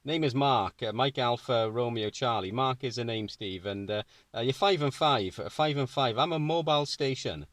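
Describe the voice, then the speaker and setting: A man's voice: medium pitch, normal speed, fairly bright tone, dry recording. A man with a British accent chatting casually as a radio operator, repeating phrases for clarity. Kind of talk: casual amateur radio chat. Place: inside a parked or mobile car.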